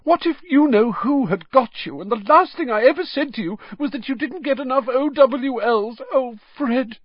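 A man reading an audiobook, voicing a frantic, doting middle-aged mother with shrill, sobbing outbursts. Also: wildly swooping pitch, unhurried, breathy edge, no room sound, heavily acted. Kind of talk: audiobook character voice. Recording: dull dry lo-fi recording.

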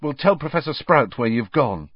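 A man's voice: mid-range voice, expressive, normal speed, slightly rough, dry recording, voice pushed up. A man voicing a level-headed teenage schoolboy wizard who speaks in a direct, practical way. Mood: urgent. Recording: dull, dry, lo-fi audiobook recording.

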